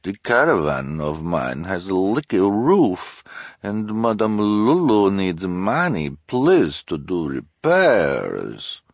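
Man as a female fortune-teller, strange foreign accent, theatrical, broken English, slow, swooping, storybook character. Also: deep voice, sing-song, dry recording, studio quality, voice pushed up, full character voice. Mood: complaining, pleading. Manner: broken English, keeps adding "please".

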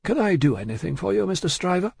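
A man voicing a discreet, anxious elderly bank man from a classic novel, polite, hesitant and measured. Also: on the high side, animated, creaky, a little mellow, husky, no room sound, pitched above the performer's own voice, heavily acted. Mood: courteous. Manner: hesitant, courteous, measured, fussy. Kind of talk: acted audiobook dialogue from a classic novel.

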